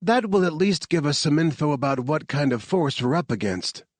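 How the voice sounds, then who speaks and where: A man's voice: fairly high pitch, lively intonation, moderate pace, no echo, pitched above the performer's own voice. A man voicing a frustrated young male tech specialist, raised above his own narrating voice.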